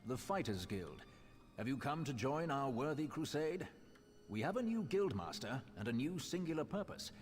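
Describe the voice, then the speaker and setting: An actor playing a formal, welcoming and zealous guild recruiter in video game dialogue. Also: male voice, medium pitch, very animated, normal speed, close to an everyday voice. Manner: formal, courteous. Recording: faintly noisy game capture.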